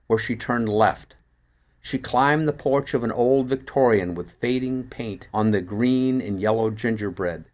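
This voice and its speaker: A man reading a first-person mystery story aloud in an expressive storytelling manner, like an audiobook narrator. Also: on the low side. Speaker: actor reading a short story.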